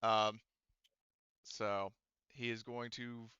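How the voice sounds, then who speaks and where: A man's voice: lowish voice, little pitch movement, very slow, sharp tone, clear, resonant tone, no room sound, lo-fi sound. A man running a tabletop roleplaying game as game master, explaining rules casually and thinking aloud.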